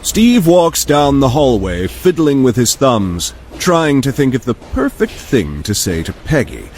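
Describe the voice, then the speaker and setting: A man's voice: mid-range voice, sing-song, a little slow, a little mellow, a little hiss or hum. A man narrating an audio drama in a dramatic, scripted storytelling style. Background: music.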